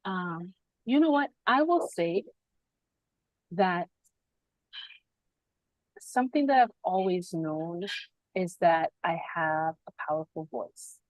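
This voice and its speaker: A woman with a fairly low voice hosts a spiritual podcast over a video call. She talks in a reflective, unhurried way and often laughs between thoughts. The sound is dry and close. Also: no room sound, rough recording. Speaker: podcast host.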